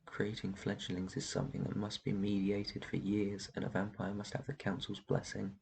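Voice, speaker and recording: Man as a low, gravelly, protective ancient vampire. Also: completely flat delivery, moderate pace, slight background noise. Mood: grave, explanatory. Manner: soft shushing, reassuring, tender endearments. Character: very old, protective male vampire master, gentle and soothing. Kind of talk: roleplay ASMR, intimate one-sided dialogue.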